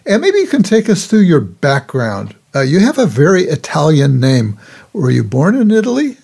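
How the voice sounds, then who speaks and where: An older man hosting a casual interview over a video call, relaxed and chuckling often as he asks his questions. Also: on the high side, moderate pace, creaky, husky. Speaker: podcast host, psychologist. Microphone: remote call microphone.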